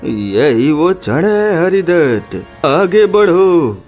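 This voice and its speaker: A man's voice: a little high, sing-song, extremely slow, a little mellow, faint music behind, exaggerated voice. A man playing a brave, self-sacrificing friend in a cartoon story, solemn, urgent and heroic. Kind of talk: dramatic cartoon story acting.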